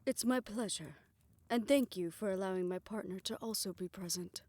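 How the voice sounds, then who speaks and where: A woman plays an arbitrator overseeing a vampire council. Her voice sits at a middle pitch. She is composed, polite and formal, in the manner of an audio drama. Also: moderate pace, a little mellow, dry recording, lightly acted. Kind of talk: audio roleplay drama acting.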